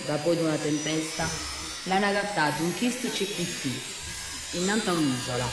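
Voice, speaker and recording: A narrator with a Gallurese Sardinian accent telling the story in a calm, even way. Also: mid-range voice. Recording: echoey and very noisy.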